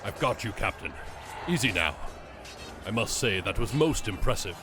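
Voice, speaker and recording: An actor playing a calm, experienced male witch hunter giving advice mid-battle in a fan audio drama. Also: on the low side, normal speed, over music, close to an everyday voice. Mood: steady.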